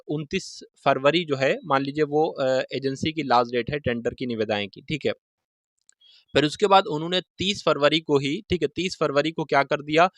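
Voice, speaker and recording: Higher-voiced man explaining informally. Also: a bit bright, no room sound. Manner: repetitive, with frequent check-in tags. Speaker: exam-news video host.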